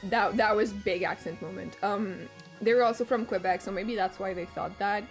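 A young woman with a French Canadian accent, whose first language is French, chatting casually and excitably while streaming a game, swearing freely and humming to herself. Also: mid-range voice, normal speed. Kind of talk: casual live-stream chat while gaming. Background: faint game music.

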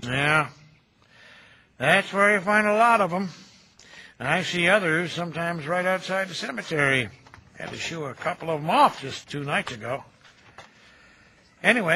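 An actor playing a kindly, folksy older cemetery caretaker in an audio drama, chatty and gentle in a homespun, nostalgic way. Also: male voice, sits high, expressive, moderate pace, slightly rough, exaggerated voice, rough recording. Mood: wistful. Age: middle-aged. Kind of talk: naturalistic radio drama dialogue.

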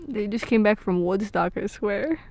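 A woman playing an affectionate, playful young girlfriend in an intimate, soft-spoken ASMR roleplay, with hesitant pauses. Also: mid-range voice, gravelly, no room sound, lightly acted. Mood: playful, amused. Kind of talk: intimate ASMR roleplay, scripted but natural.

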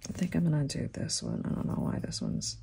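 Croaky low-voiced woman rambling through an unboxing. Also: huge pitch swings, a little fast, warm tone, slight background noise. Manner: rambling, thinking aloud with many fillers. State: croaky from a sore throat. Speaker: nail art vlogger. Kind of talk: casual unboxing chat. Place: tabletop crafting desk, camera overhead.

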